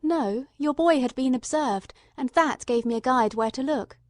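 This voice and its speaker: A woman voicing a detective's earnest, loyal male companion in an audiobook, speaking in a fervent, candid, excitable way. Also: high voice, moderate pace, dry recording, clean studio sound, voice pushed up, lightly acted. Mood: relieved, eager. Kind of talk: audiobook character dialogue.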